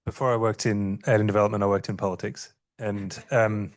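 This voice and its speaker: A man's voice: on the low side, flat delivery, brisk. A man on a discussion panel speaking frankly and pragmatically.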